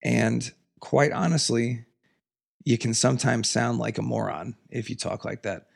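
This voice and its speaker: A man hosting a solo podcast, raspy from a cold, talking in an earnest, emphatic, passionate way. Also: measured pace. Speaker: podcast host. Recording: lower audio quality.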